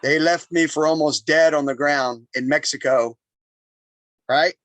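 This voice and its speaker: Podcast guest: man, high voice, animated storyteller who repeats himself. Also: a little slow, no echo. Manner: animated, talks in bursts with repeated phrases. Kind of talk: casual storytelling interview.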